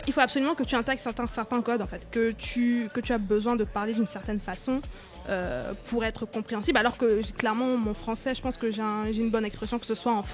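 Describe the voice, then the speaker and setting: A woman from Cameroon speaking French with Cameroonian traces, talking animatedly in a casual panel discussion and sometimes switching accents on purpose. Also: fairly high pitch. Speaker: podcast co-host.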